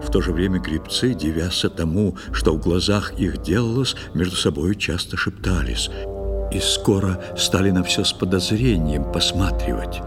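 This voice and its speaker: A man narrating a poetic tale theatrically, in a measured, expressive storytelling manner. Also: sits low. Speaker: narrator of a children's musical audio play. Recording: long studio reverb, music underneath. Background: music.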